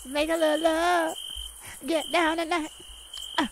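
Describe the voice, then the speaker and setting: A woman playing an outgoing, playful, sassy young best friend in an audio roleplay, theatrical and teasing, with drawn-out words. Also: very high-pitched, little pitch movement, slight background noise. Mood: excited. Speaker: ASMR roleplay voice actor. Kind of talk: ASMR audio roleplay, addressed to a listener.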